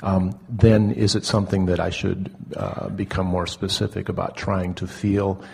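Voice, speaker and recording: A man's voice: low-pitched, level tone, moderate pace, gravelly, a little mellow, husky. A man asking an unscripted personal question, hesitant and searching for his words. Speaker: audience member asking a question.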